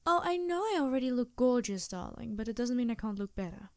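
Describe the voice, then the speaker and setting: A woman's voice: a little high, very animated, fairly quick, dry recording, clean studio sound. A woman playing a vain, seductive succubus demon in an ASMR roleplay, languid, teasing and theatrical. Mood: vain, flirtatious. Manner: languid, flirtatious, theatrical, sighing. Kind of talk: ASMR roleplay, improvised character acting.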